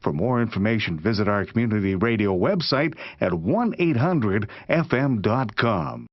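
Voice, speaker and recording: A man's voice: on the low side, lively intonation, normal speed, no echo. A man reading a scripted tourism announcement as a community radio announcer. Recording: dry but slightly muffled radio sound.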